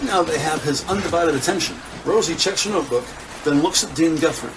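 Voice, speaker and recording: High-pitched male narrator over loud noise. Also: brisk, recorded from a distance. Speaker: narrator of an audio drama. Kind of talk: scripted present-tense crime story narration.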